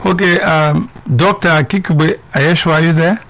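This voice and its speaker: A man hosting a health interview in a relaxed, chatty way with asides. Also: fairly high pitch, moderate pace. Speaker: radio show host. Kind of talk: casual radio interviewing.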